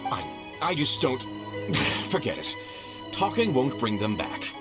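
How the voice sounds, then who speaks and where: A fussy male merchant, theatrical and easily indignant. His mid-pitched voice swings wildly up and down in game-dialogue style, over loud background music. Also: huge pitch swings, slow pace. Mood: shocked, exasperated. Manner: theatrical, polite turning exasperated. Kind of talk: video game voice acting.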